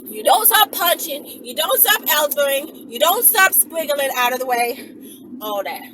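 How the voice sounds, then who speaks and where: A woman telling a story casually to her viewers in an animated, emphatic, sweary manner. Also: sits high, measured pace. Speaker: channel host. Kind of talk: casual self-defense storytelling, acted-out scenario. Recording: distant mic with clear background noise.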